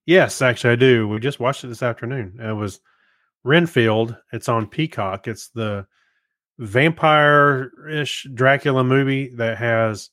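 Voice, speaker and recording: A man's voice: medium pitch, unhurried, a bit bright, no echo, clean studio sound. A man co-hosting a podcast in casual, understated conversation. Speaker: podcast co-host.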